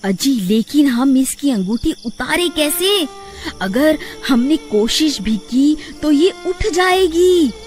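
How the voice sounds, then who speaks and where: A woman acts out a bossy village wife from a cartoon. The voice is very high and shrill, scolding and fretting, with faint music behind it. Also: expressive, slow, darkish tone. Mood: scheming. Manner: scolding, fretful. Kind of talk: Hindi cartoon acting.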